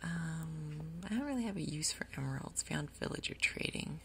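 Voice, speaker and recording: A woman gaming host giving soft, whispery ASMR commentary, casually thinking aloud in an unhurried way. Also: low-pitched, lively intonation, a little hiss or hum. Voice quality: soft, whispery, breathy undertone. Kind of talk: soft-spoken ASMR Minecraft gameplay commentary.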